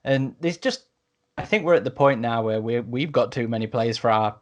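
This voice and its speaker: A man's voice: medium pitch, moderate pace, lo-fi sound. A man talking casually as a podcast host, often repeating words as he searches for the next one.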